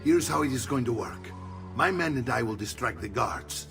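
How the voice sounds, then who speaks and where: An actor playing a proud, middle-aged mercenary uncle and mentor with an Italian accent in a video game cutscene. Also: male voice, medium pitch, lively intonation, moderate pace, vocal fry. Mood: commanding. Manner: theatrical, commanding, instructive. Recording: music behind the voice.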